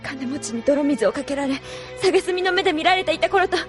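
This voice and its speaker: A woman's voice: high-pitched, expressive, a little fast, faint music behind. A woman playing an earnest, outspoken young woman fighter who speaks with impassioned, reproachful force in an anime audio drama. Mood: fierce.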